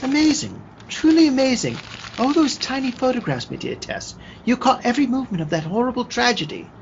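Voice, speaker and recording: Pompous old-timey gentleman sidekick, hugely dramatic swoops, muffled audio w faint noise. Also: very high voice, big pitch swings, fairly quick, full character voice. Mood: effusive. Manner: pompous, old-fashioned exclamatory phrasing. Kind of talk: old-time radio play acting.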